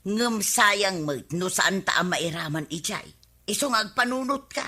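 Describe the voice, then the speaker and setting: Bright, slow-drawling gossipy Ilocano village woman. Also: medium pitch, expressive. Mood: nagging. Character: nosy, scheming gossipy village woman, a comic neighbour. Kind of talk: Ilocano radio comedy drama acting.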